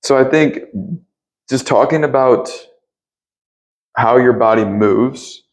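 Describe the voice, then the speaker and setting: A man with a deep voice speaking earnestly as an interview guest about spiritual matters, often pausing to search for words. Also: poor audio. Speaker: interview guest, yoga teacher and Reiki practitioner. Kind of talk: reflective spiritual conversation.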